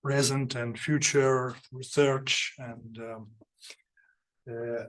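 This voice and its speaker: A man giving an academic seminar talk, halting with many fillers and restarts. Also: mid-range voice, little pitch movement, a bit bright, low-quality recording. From Ukraine. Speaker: seminar speaker.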